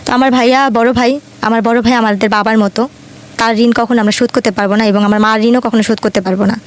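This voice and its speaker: A woman's voice: a little high, steady tone, fast. A woman in her twenties from Dhaka with a Bangladeshi accent, telling her life story in an earnest, colloquial way as an interview guest. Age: 20s.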